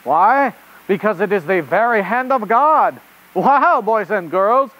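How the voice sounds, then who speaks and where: A performer voicing an excitable, easily flustered rabbit reporter puppet in a children's show, with a theatrical delivery and a put-on French accent. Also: mid-range voice, slow pace, low-quality recording. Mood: earnest. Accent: fake French. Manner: theatrical, exclamatory.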